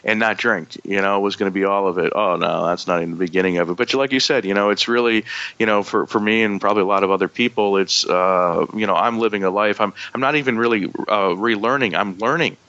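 Guest, male, northern US accent, lowish voice, reflective and filler-heavy. Dry, slightly muffled line. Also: quick pace, sharp tone, no echo. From Michigan. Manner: reflective, full of "you know" fillers. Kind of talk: candid recovery interview.

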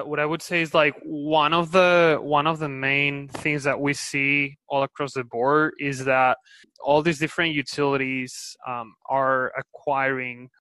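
A man's voice: mid-range voice, sharp tone, no echo, low-quality recording. A man from Spain with a Spanish accent, answering interview questions in a hesitant way with many restarts and fillers. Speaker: guest, company executive.

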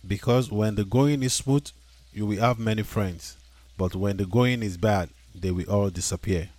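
A man telling his own story as a musician guest on a radio show, speaking earnestly and unhurriedly with plain phrasing and pauses. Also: fairly low pitch, a little mellow, no echo.

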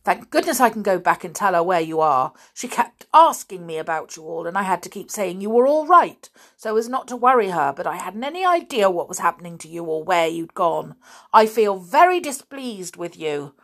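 A woman's voice: fairly low pitch, lively intonation, moderate pace, husky. A woman voicing a stern, flustered father in a children's story, speaking emphatically and scoldingly. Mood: relieved, displeased.

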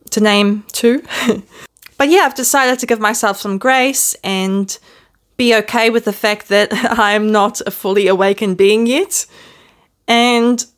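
A woman with a New Zealand accent hosting her own podcast, talking to camera in a gentle, candid, self-deprecating way, filmed at home. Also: mid-range voice. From born in the Netherlands, raised in New Zealand. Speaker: podcast host. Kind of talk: casual reflective monologue to camera. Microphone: self-filmed video camera.

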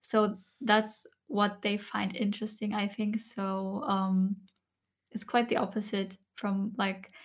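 Young german-speaking woman on a podcast, lots of ums, kinda monotone. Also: mid-range voice, takes it slowly. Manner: hesitant, many ums and restarts. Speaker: podcast guest, ASMR creator. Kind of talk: casual interview chat.

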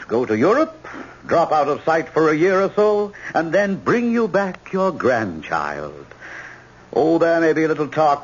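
Smug, high-voiced, swooping radio seducer. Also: male voice, breathy edge, full character voice. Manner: suave, taunting, theatrical. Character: smug, charming, scheming fortune-hunter seducer. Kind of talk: old-time radio drama acting. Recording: muffled old radio recording.

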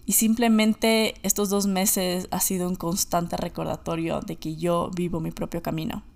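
A woman with an Ecuadorian Spanish accent hosts a personal podcast monologue, speaking reflectively and deliberately, repeating phrases for emphasis. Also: fairly low pitch, flat delivery, gravelly, darkish tone. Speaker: podcast host.

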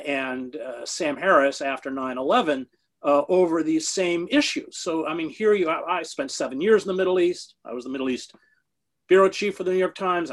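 A middle-aged man gives an unscripted interview answer with conviction. His speech is halting, packed with "uh"s and restarts as he presses his points. His voice sits somewhat high for a man. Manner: impassioned, halting with many fillers and restarts. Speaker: interview guest, former foreign correspondent.

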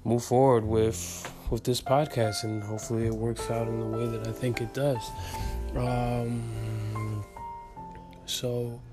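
A man's voice: medium pitch, flat delivery, slow. Earnest young man with music behind. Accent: light Dominican Spanish. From Dominican Republic. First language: Spanish. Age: 20s. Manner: earnest, rambling, motivational, with many fillers and restarts. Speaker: podcast host. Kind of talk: casual solo podcast monologue.

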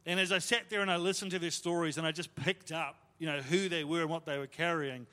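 A man delivers a sermon through a series of stories, relaxed and conversational, stumbling over fillers and poking fun at himself. His voice sits a little higher than most men's. A long reverb trails each phrase, as if from a large church hall. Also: quick pace. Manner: conversational, self-deprecating, lots of fillers. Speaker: pastor. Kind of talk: sermon storytelling.

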